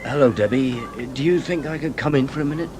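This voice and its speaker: A man's voice: mid-range voice, quick pace, heavy background noise, close to an everyday voice. A man playing a wry, self-doubting police detective, confiding in a radio crime drama. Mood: awkward, grave. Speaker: narrator and lead detective character. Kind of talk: radio crime drama, first-person narration and dialogue.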